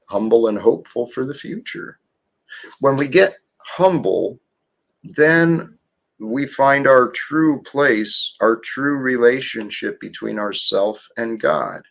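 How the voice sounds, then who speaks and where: Slow, calm-voiced man preaching gently. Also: mid-range voice, creaky. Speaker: livestream host. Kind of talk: spiritual livestream talk.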